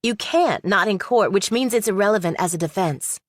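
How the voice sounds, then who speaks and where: A woman acts a shrewd young female law student in audiobook thriller dialogue. She is direct and probing, with a medium-pitched voice in a dry recording. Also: a little fast, dry recording, lightly acted. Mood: cool, matter-of-fact. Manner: direct, questioning, analytical.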